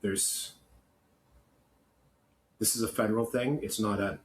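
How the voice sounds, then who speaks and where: Grumbling Canadian man, lowish voice, background noise. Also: fairly even intonation. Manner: blunt, grumbling, halting with repeated words. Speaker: podcast co-host. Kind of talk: casual opinionated chat.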